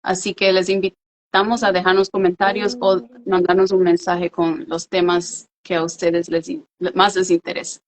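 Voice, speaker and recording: A woman with a fairly low voice co-hosts a casual live chat. She explains her points earnestly, with a few fillers along the way. Her voice comes through a video call. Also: little pitch movement, moderate pace. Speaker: co-host of a live talk show.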